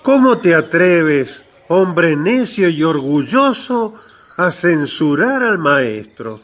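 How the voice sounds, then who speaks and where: A zealous, indignant apostle in a religious radio drama. His pitch leaps around animatedly. He draws out his words slowly and emphatically. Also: very animated, a bit bright, heavily acted. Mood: indignant rebuke. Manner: emphatic, drawn-out delivery. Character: indignant, zealous male apostle rebuking a proud companion.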